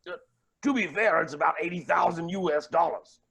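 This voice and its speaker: Shrill, raspy, manic villain billionaire. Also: male voice, a little fast, a bit bright, exaggerated voice. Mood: gloating menace. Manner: pompous, melodramatic, swooping exclamations. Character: manic villainous billionaire man, gleefully cruel and theatrical. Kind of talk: theatrical staged reading.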